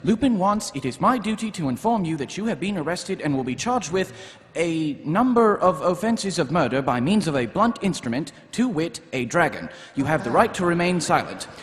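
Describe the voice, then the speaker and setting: An actor playing a dutiful, earnest young watch constable with a formal, literal manner in a live radio drama. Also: male voice, a little high, big pitch swings, moderate pace. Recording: echoey hall live stage recording.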